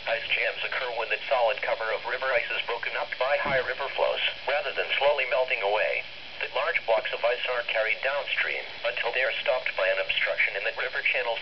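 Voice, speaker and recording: A man's voice: medium pitch, brisk. A man with a formal, synthetic-sounding delivery reading scripted public information as a weather radio announcer. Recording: noisy, muffled weather radio broadcast.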